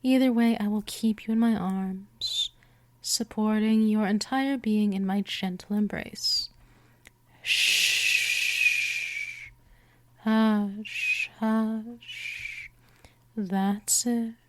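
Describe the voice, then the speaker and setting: A woman voicing a gentle but possessive vampire mistress in a hypnosis ASMR roleplay, coaxing softly and often slipping toward a whisper. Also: mid-range voice, fairly even intonation, background noise. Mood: possessive, doting, then soothing, lulling. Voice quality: soft, breathy whispering in places. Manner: slow, coaxing, drawn-out delivery.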